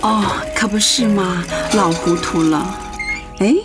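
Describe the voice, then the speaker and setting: A woman's voice: medium pitch, takes it slowly, natural voice. An actress playing a doting, fussy older mother and grandmother in a radio drama. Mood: subdued, reflective. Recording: music behind the voice.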